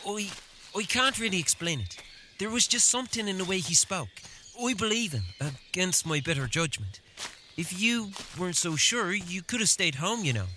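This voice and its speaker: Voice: medium pitch, animated, moderate pace, pushed and bright, a little hiss or hum. An actor playing a reckless but protective older brother, a young boy adventurer, earnest in an audio drama. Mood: earnest, wry.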